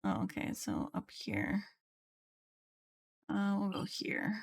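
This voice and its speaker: A woman's voice: mid-range voice, level tone, slow, gravelly, husky. A woman livestreaming a game, chatting casually with viewers as she plays. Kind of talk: casual chat while playing, reading viewers' comments. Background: game music.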